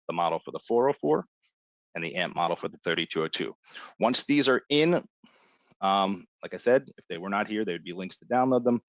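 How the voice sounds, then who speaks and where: Low-voiced man giving a software tutorial. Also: dry recording. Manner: informal, step-by-step explaining. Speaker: instructor. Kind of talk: software tutorial, live walkthrough.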